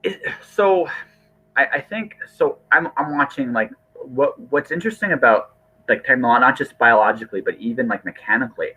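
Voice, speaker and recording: A man's voice: fairly low pitch, fairly bright tone, slightly rough. A man hosting a podcast in casual chat, rambling and often repeating and rephrasing himself. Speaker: podcast host. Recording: thin, phone-like call audio.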